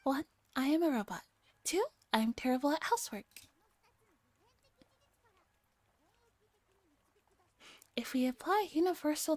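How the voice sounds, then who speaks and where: Girl streamer doing a high voiced anime robot maid, earnest n boastful, clumsy, super dramatic about it. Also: a little mellow, no echo. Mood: stubborn, earnest. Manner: solemn, over-dramatic declarations.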